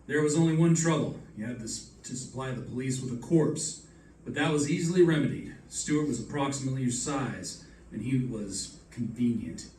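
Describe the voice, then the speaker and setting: A man's voice: mid-range voice, a little fast, lightly acted. A man playing an unflappable, wry detective in a live radio play, assured and matter-of-fact. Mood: wry confidence. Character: unflappable wry male detective reconstructing a murder. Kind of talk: live old-time radio drama acting. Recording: echoey stage, distant mic, background noise.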